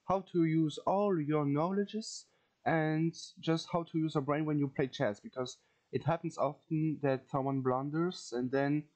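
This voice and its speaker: A man from France with a French accent gives an informal lesson as a video host, haltingly searching for words and repeating phrases. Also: fairly high pitch, steady tone, a little mellow. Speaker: chess teacher, video host. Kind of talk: informal instructional commentary.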